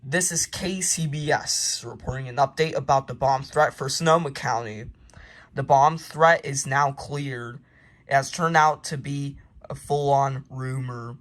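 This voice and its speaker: A man reads amateur mock emergency announcements in a halting, informal way, correcting himself and slipping into casual asides. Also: mid-range voice, fairly bright tone. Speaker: narrator of a homemade emergency alert scenario.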